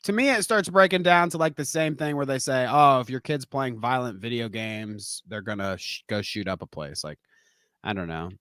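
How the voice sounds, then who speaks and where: A man's voice: medium pitch, normal speed, a bit bright, clean tone, no echo. A man co-hosting a loose live podcast with a mid-range voice, often breaking into laughter. His speech is peppered with casual swearing. The sound is close and dry. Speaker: podcast co-host. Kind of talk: casual chat.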